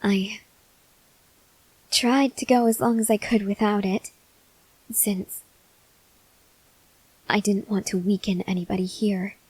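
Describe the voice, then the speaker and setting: A woman playing an earnest, desperate female vampire scientist in an ASMR roleplay, speaking haltingly in a breathy voice that drifts into whispers. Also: mid-range voice, fairly even intonation, darkish tone, no room sound, poor audio. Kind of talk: ASMR roleplay, slow dramatic monologue to the listener.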